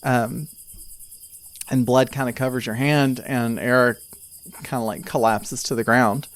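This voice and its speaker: A man improvising tabletop game narration as game master, telling the story in a halting, conversational way. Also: medium pitch, steady tone.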